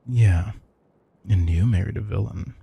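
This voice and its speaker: A man's voice: fairly low pitch, slow pace, creaky, mellow, slightly rough, heavily acted. A man playing an affectionate, theatrical retired supervillain turned doting house husband, teasing and mock-grandiose in an intimate audio roleplay. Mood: affectionate, coaxing.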